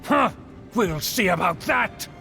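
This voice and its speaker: A man's voice: high voice, animated, takes it slowly, gravelly, pushed and bright, exaggerated voice. A man voicing a scheming, indignant aristocrat villain in a video game, pompous and theatrical. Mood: indignant, defiant. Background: game music.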